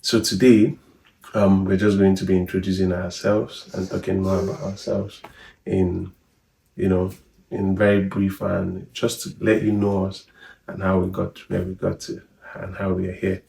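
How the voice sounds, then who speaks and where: Deep gravelly Nigerian man. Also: moderate pace. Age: 30s. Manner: relaxed, rambling. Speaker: vlogger. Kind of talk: casual chat. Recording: echoey room from far off.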